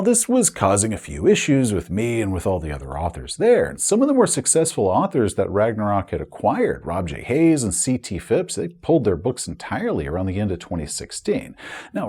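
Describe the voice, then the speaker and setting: A man's voice: lowish voice, warm tone, slightly rough. A man recounting his own career as an author and video host in a conversational, candid, emphatic way. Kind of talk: personal retrospective storytelling to camera.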